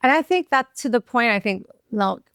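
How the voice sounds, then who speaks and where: Co-host, woman, 50s, raspy and gravelly, blunt and self-teasing, a touch high-pitched, dry close sound. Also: lively intonation, normal speed, a bit bright, no echo. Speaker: podcast co-host. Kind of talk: casual, frank chat with swearing. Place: in bed at home.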